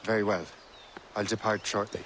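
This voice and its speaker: An actor with an Irish accent playing a confident, dutiful assassin hunter in a video game. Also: male voice, fairly low pitch, flat delivery, normal speed, fairly bright tone, a little hiss or hum, close to an everyday voice. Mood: earnest, resolute.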